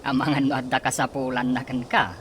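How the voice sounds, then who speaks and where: An actress playing a curious schoolteacher colleague who asks after a missing teacher. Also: female voice, a bass voice, little pitch movement, fairly quick, background noise. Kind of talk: radio drama acting. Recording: music playing underneath.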